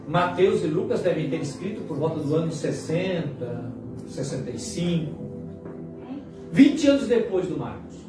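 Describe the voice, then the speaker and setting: A Brazilian pastor in his late fifties delivers a sermon in a fairly high male voice. He pauses dramatically and repeats phrases to drive them in. The sound is heavily echoey and distant from the mic, with music clearly behind him. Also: lively intonation. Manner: dramatic pauses and repetition for emphasis.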